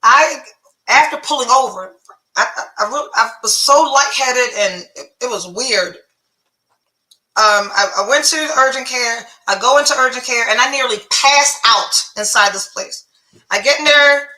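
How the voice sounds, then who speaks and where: A woman's voice: sits high, sharp tone, echoey room, rough recording. A woman podcast host telling a story in a casual monologue, blunt and profane, sounding congested with phlegm.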